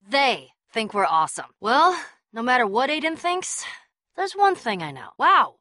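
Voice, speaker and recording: A woman's voice: high-pitched, sing-song, measured pace, pushed and bright, no room sound, studio quality, full character voice. An actress playing a bold, sardonic young woman adventurer in a video game, with a wry, punchy, casual delivery. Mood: wry, friendly.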